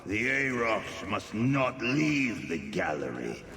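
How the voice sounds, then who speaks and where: An actor playing a haughty male creature collector who speaks of himself as plural, in a deep gravelly voice with slow, imperious delivery. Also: sing-song, sharp tone, a little hiss or hum, full character voice. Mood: haughty, disdainful. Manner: slow, imperious, refers to others as "it". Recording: echoing hall reverb with game ambience.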